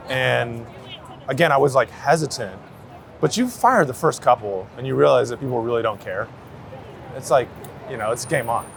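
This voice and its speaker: A man gives a casual outdoor interview as a street photographer. His mid-range voice has a gravelly creak, and his wording is loose and slangy. Faint city street noise sits around him. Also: mid-range voice, a bit bright.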